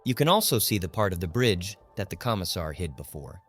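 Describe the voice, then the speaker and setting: A low-pitched man reads scripted literary fiction as an audiobook narrator. His delivery is measured and dramatic, with soft music underneath. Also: sing-song, husky. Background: faint music.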